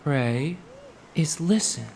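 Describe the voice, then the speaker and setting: A man's voice: a little high, animated, slow, creaky, darkish tone, slight background noise, full character voice. A man acting an eager, ambitious young sweetheart in musical theatre dialogue. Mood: excited. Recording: music behind the voice.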